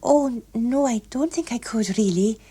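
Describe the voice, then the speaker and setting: A woman's voice: a little high, measured pace, warm tone, husky, pitched above the performer's own voice. A woman voicing a prim, polite and easily flustered young working woman in an audiobook story. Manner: polite, prim, awkward protests.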